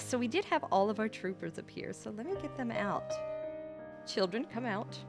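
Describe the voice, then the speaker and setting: Animated woman livestreamer over background music. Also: mid-range voice, creaky. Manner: rambling, thinking aloud, animated. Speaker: livestream host, VTuber. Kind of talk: casual livestream chat.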